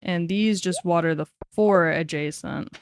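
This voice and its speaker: A woman's voice: on the low side, a little slow, gravelly. A woman in her twenties chatting casually on a game stream, laughing easily, swearing, and muttering to herself as she works things out. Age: 20s. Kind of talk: casual gaming stream chat.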